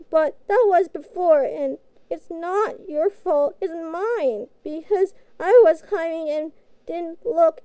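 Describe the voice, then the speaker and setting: Very high-pitched, childlike catgirl pet. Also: dark tone, no room sound, exaggerated voice, poor audio. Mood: sheepish, softening. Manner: halting, pleading, naive simple phrasing. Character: childlike, guilt-ridden, eager-to-please catgirl pet. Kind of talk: fantasy audio roleplay acting.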